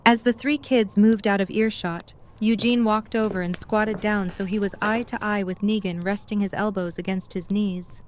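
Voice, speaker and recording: A woman's voice: medium pitch, fairly even intonation, moderate pace, hardly any pauses, slight background noise. A woman reading scripted third-person narration for an audio drama.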